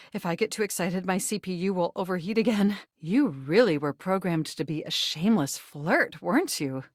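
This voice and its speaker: A woman's voice: medium pitch, dry recording, clean studio sound, close to an everyday voice. A woman voicing a flirtatious, eager female computer character in a dating video game, speaking with wordy, precise techy diction and a theatrical flair. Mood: excited, flirtatious.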